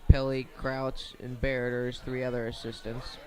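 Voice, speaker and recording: A man doing live radio play-by-play of a high school football game in short, plain, measured phrases. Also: flat delivery, mellow. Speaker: play-by-play sports commentator.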